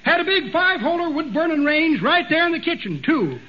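A man's voice: very high voice, sing-song, fairly quick. A man playing a folksy old male tall-tale teller in a 1940s radio comedy, drawling and chuckling, on a dull old radio recording. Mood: folksy yarning. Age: older.

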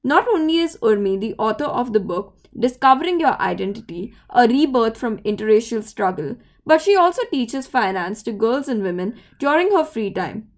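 Podcast host: woman, fairly high voice, reads a prepared intro. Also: animated, moderate pace, hardly any pauses. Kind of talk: scripted intro, then interview.

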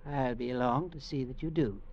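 An actor playing a cold, domineering, scheming uncle with a curt, stern manner in mid-century radio drama style. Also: male voice, mid-range voice, brisk, a little mellow, lightly acted. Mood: cold, firm. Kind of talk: 1940s-50s radio drama acting. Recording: muffled old radio recording.

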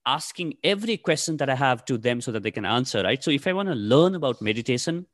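A man's voice: medium pitch, expressive, fast, fairly bright tone, dry recording. A man in his thirties from Nepal, whose first language is Nepali, answering interview questions casually with many fillers and restarts. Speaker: podcast host, guest here.

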